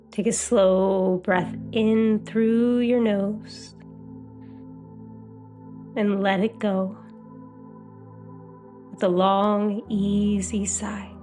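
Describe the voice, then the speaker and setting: Slow-paced woman guiding a meditation. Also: medium pitch. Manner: soothing, gentle, unhurried phrasing. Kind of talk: guided meditation.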